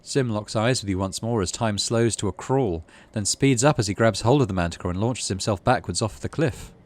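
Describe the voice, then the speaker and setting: A man's voice: lowish voice, fairly quick, darkish tone. A British man hosting a gaming playthrough, reading game text aloud and chatting casually about it. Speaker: gaming channel host.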